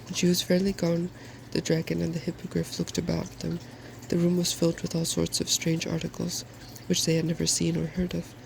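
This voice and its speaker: A soft-spoken man reading a fairy tale aloud in ASMR style, in a gentle, measured storytelling manner. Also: a little high, completely flat delivery, creaky, a little hiss or hum, poor audio. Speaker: storybook reader.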